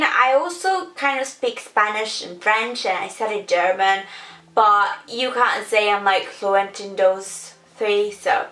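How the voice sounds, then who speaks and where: A woman's voice: fairly high pitch, fairly bright tone. A young woman from Sicily with an Italian accent, chatting casually to camera as a vlogger, full of fillers and self-corrections. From Sicily, Italy. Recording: echoey room, mic at a distance.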